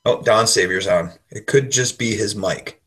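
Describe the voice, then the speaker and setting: A man's voice: fairly low pitch, unhurried, fairly bright tone, breathy edge. A man co-hosting a casual radio show with joking banter, talking into a computer's built-in microphone in a big empty room. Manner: wisecracking.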